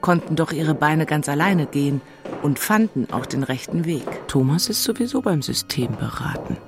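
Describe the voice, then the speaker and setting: A woman's voice: low-pitched, animated, moderate pace. An actress playing an exasperated, lovesick single city woman in a radio play, narrating with blunt, self-mocking asides. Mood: wry, self-deceiving.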